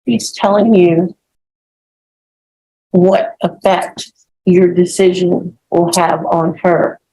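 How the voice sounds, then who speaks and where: A woman's voice: lowish voice, fairly even intonation, slow pace, dark tone, poor audio. A woman working as a defense attorney, formally making objections and addressing the court.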